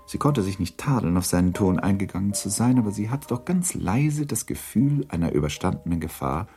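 A sober literary narrator for a radio drama. His voice is low and gravelly, measured and detached, with faint music underneath. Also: lively intonation, warm tone. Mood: calm, detached. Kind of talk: scripted radio play narration.

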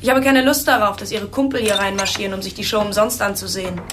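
An actress playing a streetwise, wary strip-club performer who talks in a cheeky, defiant way in an audio drama. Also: female voice, mid-range voice, steady tone, fast, noisy background, lightly acted.

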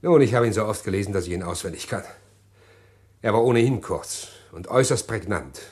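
A man's voice: on the low side, expressive, darkish tone, close to an everyday voice. An actor playing a haughty, guarded murderer who tells his own story in a vintage radio play. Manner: measured, haughty, hesitant.

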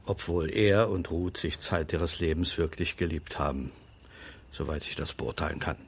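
An actor playing a frail old lawyer in a radio play, guarded and sardonic, speaking in a measured, formal and pointed way. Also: male voice, extremely low pitch, creaky, husky, exaggerated voice. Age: older.

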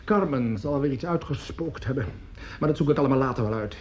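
An actor playing an excitable, coarse opera conductor in a radio play, effusive and grumbling, stammering and swearing as he talks. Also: mid-range voice, fairly quick, a little hiss or hum, lightly acted. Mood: enthusiastic. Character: excitable, coarse, opinionated male opera conductor at his piano. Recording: dull old radio recording, piano music.

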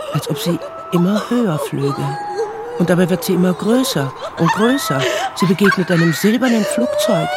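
A man's voice: sits high, very noisy, heavily acted. A man narrating a German radio play in a storytelling style.

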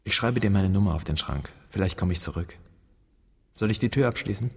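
An actor playing a bitter, reproachful grown son in a literary radio play, declaiming in an accusing, rhetorical way. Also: male voice, sits low, animated, brisk, breathy edge, exaggerated voice. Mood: resigned. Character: bitter, reproachful grown son confronting his absent father. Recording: long hall reverb.

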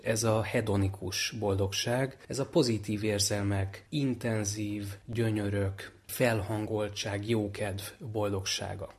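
A man speaking as an expert, explaining in a structured, precise way, point by point. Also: lowish voice. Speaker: psychology expert.

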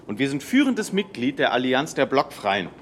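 An actor playing a proud, idealistic young Egyptian engineer in a radio drama. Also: male voice, medium pitch, sing-song, moderate pace, a little hiss or hum, lightly acted. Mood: fervent, political.

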